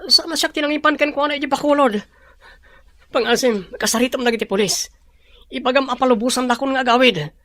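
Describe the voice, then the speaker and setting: A woman acting as a stern, demanding groom with an Ilocano accent. The voice is high for the part, in melodrama style. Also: fast talker, fairly bright tone. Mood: bitter, insistent. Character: stern, demanding jilted bridegroom.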